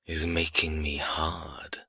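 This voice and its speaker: A male narrator voices a cruelly amused, domineering man in an audiobook. His extremely deep voice is husky, with a slow, purring, commanding delivery. The sound is dry and slightly muffled. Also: level tone, no room sound, heavily acted. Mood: menacing, seductive. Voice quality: deep, dark murmur. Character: menacing, cruelly amused man who toys with his captive. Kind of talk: audiobook character dialogue.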